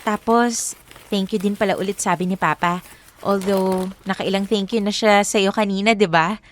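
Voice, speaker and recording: A woman's voice: medium pitch, steady tone, normal speed, a little hiss or hum, lightly acted. A woman playing a firm, loyal young girlfriend with a Filipino accent in a radio drama. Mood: casual, friendly.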